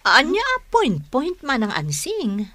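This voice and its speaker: An actress with an Ilocano accent playing a deferential, chatty household companion in a radio comedy drama. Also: female voice, sits high, huge pitch swings, slow, fairly bright tone, no room sound, exaggerated voice. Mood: admiring, amused.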